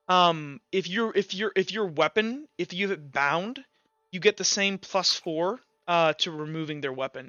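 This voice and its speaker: A man's voice: a little high, bright, forceful tone, no room sound, lo-fi sound. A man narrating and explaining a tabletop roleplaying game as game master in a rambling, self-correcting way.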